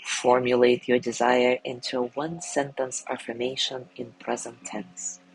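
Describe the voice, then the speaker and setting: A man guiding a sleep meditation in a gentle, soothing way, softly spoken and unhurried, with long pauses between phrases. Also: mid-range voice, flat delivery, soft background music, rough recording. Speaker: meditation guide.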